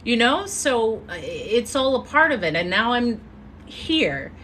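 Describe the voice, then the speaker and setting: A woman giving an earnest motivational talk as a live stream, telling her story with drawn-out pauses. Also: on the high side, sing-song, fairly bright tone, husky. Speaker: motivational speaker. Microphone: phone or webcam mic.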